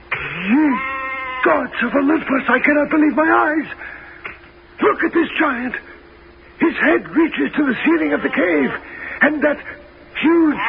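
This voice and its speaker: An actor playing a timid young Greek sailor, loyal and anxious, in a 1970s radio drama. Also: male voice, very high-pitched, creaky, full character voice. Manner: anxious and pleading. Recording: tinny, hissy old radio recording.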